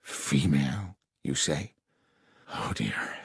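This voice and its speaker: A man voicing a courteous, flattering but uneasy gentleman client in an audiobook, genteel and measured. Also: very deep voice, gravelly, husky, no room sound, studio quality, pitched below the performer's own voice, heavily acted. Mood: shaken. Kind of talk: audiobook character acting.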